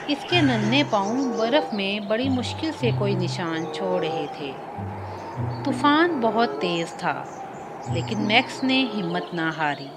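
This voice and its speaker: Woman narrator, slow delivery, animated intonation, scripted kids' story, loud background ambience. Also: mid-range voice, heavy background noise.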